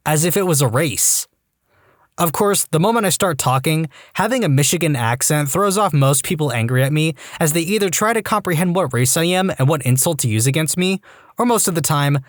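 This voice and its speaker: A man narrating listener-submitted stories in a lively storytelling manner. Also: fairly high pitch, no room sound, studio quality. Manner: lively storytelling, jumps into quoted voices.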